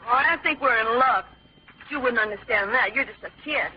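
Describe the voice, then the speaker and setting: Cocky, high-voiced teenage boy. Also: fairly quick. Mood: boastful. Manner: cocky, condescending. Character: boastful, condescending teenage boy. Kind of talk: old-time radio drama acting. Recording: dull, faintly noisy old radio recording.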